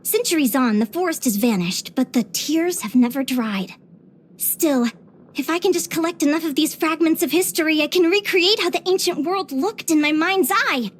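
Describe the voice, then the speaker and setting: Game role: bubbly young naturalist adventurer girl, high-pitched, expressive, curious and sweet. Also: moderate pace, a little hiss or hum. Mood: wondering, earnest. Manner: expressive, chirpy, with sighs and giggles. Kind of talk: scripted video game character voice lines.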